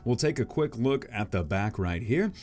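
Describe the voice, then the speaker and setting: A man's voice: mid-range voice, animated, moderate pace, a little mellow. A man in his thirties hosting a casual vlog, joking and self-deprecating as he comments. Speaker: YouTube host reading manga aloud.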